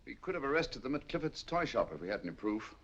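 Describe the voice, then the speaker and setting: An actor playing a calm, shrewd male detective in a 1940s film, with a cool, measured delivery. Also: mid-range voice, breathy edge. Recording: dull old film soundtrack with hiss.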